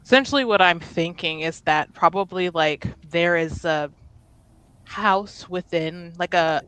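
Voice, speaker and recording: A woman playing a tabletop game, thinking aloud in casual, halting, informal narration. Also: lowish voice, fairly bright tone, clean tone, dry recording, low-quality recording.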